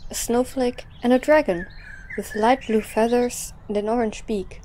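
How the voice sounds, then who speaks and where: A woman's voice: a little high, steady tone, normal speed, dark tone, a little hiss or hum. A woman reading scripted storytelling narration as the narrator of an audio drama.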